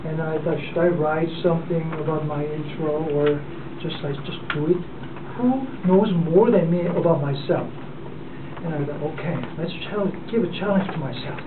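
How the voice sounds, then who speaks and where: Korean-accented man speaking from afar. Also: fairly high pitch. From South Korea. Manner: halting, with many fillers and restarts. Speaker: club member giving a speech. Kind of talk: unprepared speech. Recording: distant, echoey, phone-like and noisy.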